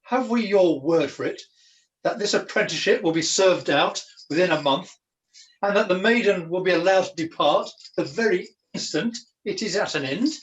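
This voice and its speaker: Man playing high-voiced theatrical jester. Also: moderate pace, close to an everyday voice. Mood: indignant, protective. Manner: theatrical, mock-archaic declaiming. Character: foolish, protective male jester, mock-grand wandering minstrel. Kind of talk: amateur pantomime acting. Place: at home, indoors. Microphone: video call. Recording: echoey, distant home video call.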